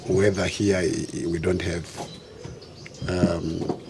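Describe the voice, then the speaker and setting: A man whose first language is French, answering interview questions in a measured way and often clearing his throat. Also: deep voice, flat delivery. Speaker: guest. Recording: faint background noise.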